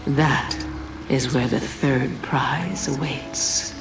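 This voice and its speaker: A woman playing a scheming, fervent priestess of dark powers in a video game, silky and theatrically portentous. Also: rumbling low, very slow, heavily acted. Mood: sinister, silky. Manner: theatrical, portentous, hushed insinuation. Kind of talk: video game cinematic voice acting. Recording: echoey, loud music behind.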